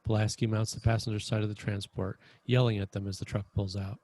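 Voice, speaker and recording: Casting: male screenplay narrator, dark relaxed tone, dry recording, straightforward scripted delivery. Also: on the low side, fast talker, dry recording. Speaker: stage-direction narrator.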